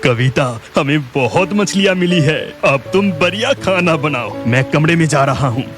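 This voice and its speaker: Man acting eager village husband, food lover, cartoon dialogue, expressive, slightly high voice, music behind. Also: moderate pace, lightly acted. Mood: pleased. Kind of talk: Hindi cartoon story dialogue.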